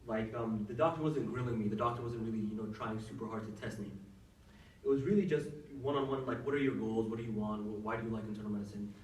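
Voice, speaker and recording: Young male doctor, low voice, chatty with fillers, echoey room, mic set back, faint room noise. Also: steady tone, quick pace, darkish tone, recorded from a distance. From Saudi Arabia. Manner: conversational, many fillers and restarts. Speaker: workshop speaker, doctor. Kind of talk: casual advice talk to students.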